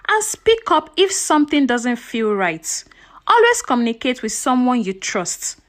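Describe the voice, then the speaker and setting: A woman narrates a folktale in a high, very lively voice. Her delivery is dramatic and earnest, shaped as scripted storytelling for listeners.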